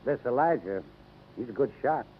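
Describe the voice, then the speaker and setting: Narrow, faintly noisy old radio sound carries an actor as a wary American male rancher. He talks gravely and plainly at a middling pitch, in 1950s radio drama fashion. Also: animated, moderate pace, close to an everyday voice. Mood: grave warning. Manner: hesitant, plainspoken, cautioning.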